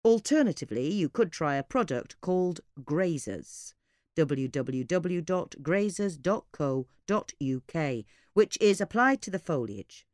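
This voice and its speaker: Female podcast reader, low register with lively intonation, scripted informative delivery, measured and articulate. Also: dry recording, clean studio sound. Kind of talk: scripted informative narration of gardening advice.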